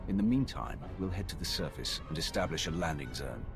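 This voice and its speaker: A galactic fleet commander with a very low, authoritative voice. His delivery moves expressively through terse orders in a sci-fi game, with music behind him. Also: animated, fairly quick. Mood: commanding. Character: commanding male leader of a galactic war fleet. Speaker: military commander. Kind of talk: video game cinematic acting.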